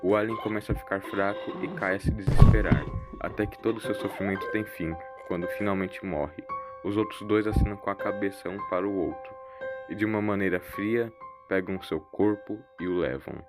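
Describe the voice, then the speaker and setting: Low-pitched Brazilian male narrator. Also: steady tone, a little fast, over loud music. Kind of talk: scripted story narration of sci-fi audio drama.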